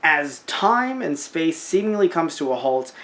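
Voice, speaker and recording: A young man co-hosting a casual podcast, animated and jokey, slipping into silly voices. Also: on the high side, measured pace. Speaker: podcast co-host. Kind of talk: casual chat.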